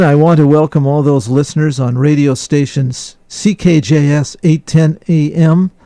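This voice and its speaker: A man hosting a radio interview, welcoming listeners and guests in a formal, deliberate way. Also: a little high, mellow, no room sound.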